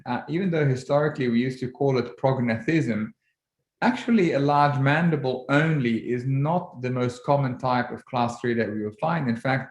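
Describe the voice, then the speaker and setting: Egyptian-born man lecturing over a video call. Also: medium pitch. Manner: measured, with frequent ums and restarts. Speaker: orthodontist giving a webinar lecture. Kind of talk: relaxed presentation over slides. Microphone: computer mic on a video call. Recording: roomy video-call audio.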